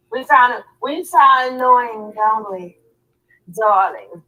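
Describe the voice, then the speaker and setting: A woman with a hoarse, raspy voice hosting a casual livestream chat. She laughs often and keeps repeating her words. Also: on the high side, drawn-out words, bright, forceful tone, rough recording. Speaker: livestream host.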